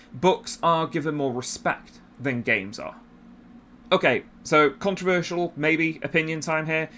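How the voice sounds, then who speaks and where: A man's voice: on the high side, a bit bright, slight background noise. A man giving informal, opinionated commentary in a conversational video-essay style, with emphatic repetition.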